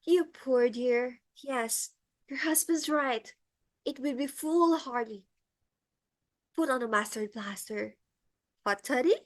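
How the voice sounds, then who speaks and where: A woman's voice: high-pitched, slow pace, long pauses. A woman playing an imperious middle-aged society hostess in a comic stage play, theatrical, exclamatory and easily enraged. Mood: gushing.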